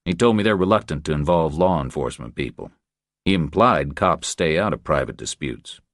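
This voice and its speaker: A man's voice: rumbling low, fairly quick, no room sound, studio quality. A man voicing a cool, laconic drifter investigator with a terse, dryly wry delivery in a crime audiobook. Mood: dry challenge. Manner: deadpan, terse.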